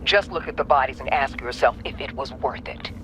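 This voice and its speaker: A woman's voice: rumbling low, brisk, background noise. A woman playing a stern, commanding agency director in a video game, authoritative and reproachful over a radio call. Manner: sharp, authoritative.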